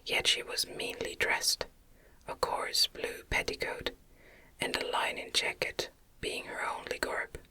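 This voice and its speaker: A woman reading a gothic novel aloud as ASMR, in a gentle, breathy, unvoiced whisper with careful, unhurried phrasing. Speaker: audiobook narrator, ASMR reader.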